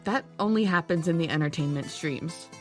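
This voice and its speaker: A woman's voice: low voice, normal speed, darkish tone, lightly acted. A woman playing a practical, impatient young female adventurer in an audio drama, telling the story in a sardonic, matter-of-fact way. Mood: exasperated. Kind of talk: scripted audio drama narration. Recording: music behind the voice.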